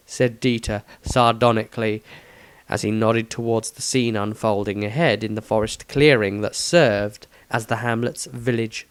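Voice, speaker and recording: Male narrator, medium pitch, dry close sound, scripted storybook reading. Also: clean tone, no echo. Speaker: author and narrator.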